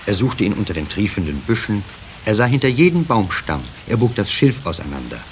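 Low-voiced, animated male storyteller. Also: a little fast. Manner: expressive storytelling. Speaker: narrator. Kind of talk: scripted children's story narration. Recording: cassette recording with faint hiss.

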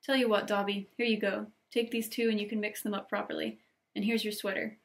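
A woman's voice: mid-range voice, level tone, a little fast, lightly acted. Brief: friendly, upbeat teen boy wizard, woman reader doing his lines. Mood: cheerful. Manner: upbeat, teasing.

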